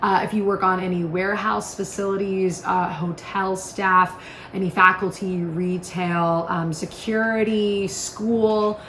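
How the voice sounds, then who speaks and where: In a roomy, echoing space with faint noise behind her, a woman demonstrates a product. She speaks casually and informally at a middle pitch, walking the listener through each step like a chatty instructor. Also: flat delivery, unhurried, fairly bright tone.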